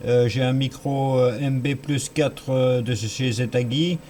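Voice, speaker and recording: A man's voice: mid-range voice, fairly even intonation, measured pace, a little mellow. A man talking casually as an amateur radio operator over two-way radio, matter-of-fact and repeating his phrases. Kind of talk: casual radio contact chat. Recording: slightly noisy radio transmission.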